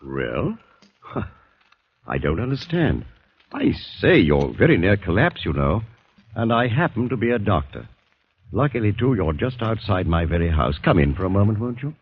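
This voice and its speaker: An actor playing a solicitous gentleman doctor with a British accent, courteous and husky, in a 1940s radio drama. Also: fairly low pitch, sing-song, normal speed, heavily acted. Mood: concerned, coaxing. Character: solicitous, genteel male doctor helping a stranger. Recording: tinny, narrow-band vintage radio.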